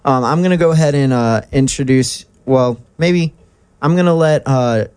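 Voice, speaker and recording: A man's voice: mid-range voice, moderate pace, darkish tone, clear, resonant tone. A man hosting a casual radio interview in a studio, friendly and chatty with fillers. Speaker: radio host.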